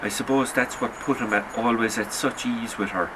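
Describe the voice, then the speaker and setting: A man reading a literary short story in a sombre, measured storytelling manner. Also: medium pitch, fairly even intonation, background noise. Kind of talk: literary audiobook narration.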